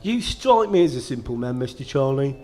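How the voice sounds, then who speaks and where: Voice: mid-range voice, big pitch swings, a little fast, warm tone, close to an everyday voice. A performer playing a dry, impatient male careers advisor, curt and officious, in a comic radio sketch. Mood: dry impatience.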